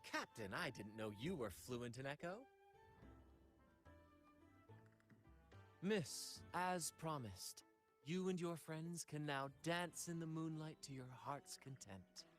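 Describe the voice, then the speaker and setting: A man's voice: fairly high pitch, animated, a little slow, faint music behind. An actor playing a cocky, theatrical young male adventurer in a video game, teasing and showy. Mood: playful, gracious.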